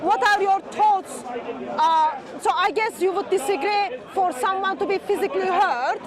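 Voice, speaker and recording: A woman's voice: very high-pitched, measured pace. A woman from Turkey, pressing questions in a street debate with phrasing. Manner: persistent questioning, non-native phrasing. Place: outdoor public speaking spot in a park. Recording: loud crowd chatter behind.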